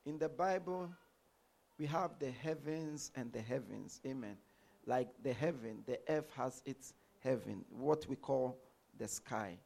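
A pastor from Ghana preaches in a gravelly, mid-pitched voice. He punctuates nearly every sentence with an amen, building his teaching in short repeated phrases. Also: measured pace, a little mellow. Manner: says amen after nearly every line. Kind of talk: sermon.

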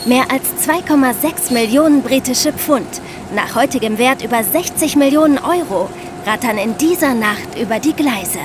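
High-voiced young woman hosting a podcast. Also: lively intonation, normal speed, noisy background. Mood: engaging, explanatory. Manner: clear explaining, addresses listeners directly. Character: bright, sensible young female crime podcaster. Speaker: podcast co-host. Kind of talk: lively scripted true-crime podcast for children.

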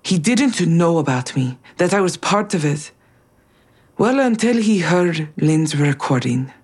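A woman playing a wary, accusing conspirator in an audio drama, with a husky voice and a terse, probing manner. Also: fairly low pitch, animated, a little slow, darkish tone. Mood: wary, surprised. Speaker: actor in a fiction podcast. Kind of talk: naturalistic audio drama acting.

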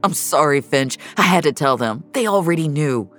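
A woman's voice: low voice, expressive, moderate pace, fairly bright tone, soft background music. A woman playing a loyal, protective male colleague who speaks firmly and earnestly. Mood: guilty. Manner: firm, earnest.

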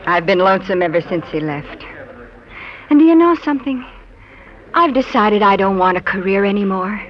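Actress as a wry, lively-pitched young office woman. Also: normal speed, slight background noise, close to an everyday voice. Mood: weary, wistful. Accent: American. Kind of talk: 1940s radio drama acting. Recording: dull, lo-fi old radio recording.

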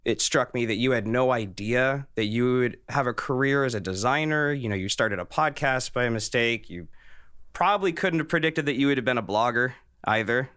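A man's voice: medium pitch, normal speed, fairly bright tone, smooth, dry recording, clean studio sound. A man in his early thirties hosting a podcast, talking conversationally as he introduces the show and interviews. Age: early 30s.

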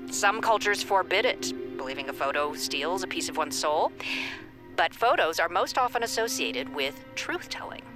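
An actress playing a composed, scholarly seminar lecturer, articulate, theatrical and probing, in an audio drama. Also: female voice, on the low side, lively intonation, normal speed, music in the background, lightly acted. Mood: intrigued, measured. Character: composed scholarly woman leading an online folklore seminar.

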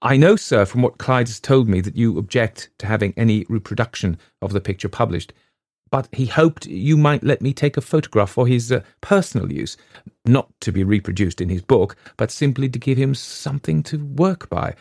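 A man reading as a courteous young gentleman. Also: mid-range voice, expressive, gravelly, no room sound, studio quality, voice pushed up. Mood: persuasive. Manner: courteous, persuasive, slightly halting. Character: polite, persistent young gentleman. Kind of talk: audiobook reading.

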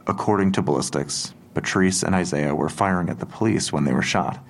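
An actor playing a blunt, skeptical male investigator in an audio drama, gravelly and terse, putting questions in a measured, matter-of-fact way. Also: deep voice. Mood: blunt, callous. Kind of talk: naturalistic audio drama acting.

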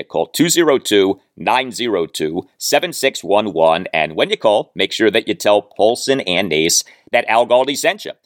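A man hosting a sports podcast solo, speaking in emphatic, deliberate phrases with heavily stressed words. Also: fairly low pitch, a bit bright, dry recording, clean studio sound. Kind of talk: sports commentary monologue with read-out listener mail and ad reads.

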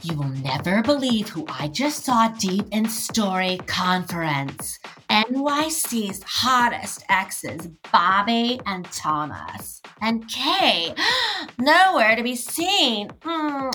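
An actress playing a gleeful, slangy showbiz gossip in an audio drama, with dramatic pauses. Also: female voice, on the high side, big pitch swings, bright, forceful tone, soft background music, exaggerated voice, rough recording. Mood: gleeful, catty.

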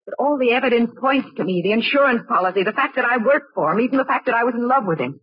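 A woman's voice: fairly high pitch, lively intonation, quick pace, slightly rough. A woman playing a cornered, defiant secretary in 1940s radio melodrama, clipped, defensive and dramatic. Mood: desperate. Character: cornered, defiant female secretary under suspicion. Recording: muffled, roomy old radio recording.

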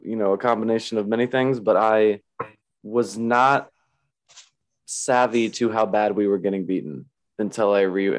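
A man hosting a casual sports chat, his voice raspy and hoarse, rambling and restarting as he talks. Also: lowish voice. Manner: rambling, self-interrupting, laughs often. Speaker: podcast host.

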